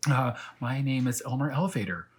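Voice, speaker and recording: A man voicing a polite, quick-thinking little boy in a children's storybook, pleading and coaxing. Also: mid-range voice, expressive, voice pushed up, natural voice. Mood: nervous.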